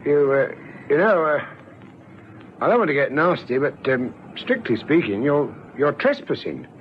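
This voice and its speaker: An actor in an old radio drama playing a nervous, well-meaning caretaker who stammers hesitantly. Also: male voice, a little high, very animated, moderate pace. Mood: awkward, concerned. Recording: muffled old radio recording, faint noise.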